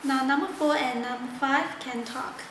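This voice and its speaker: A woman narrating a step-by-step product tutorial in halting, simple non-native phrasing. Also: high voice. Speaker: product demonstrator. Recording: echoey room with loud background noise.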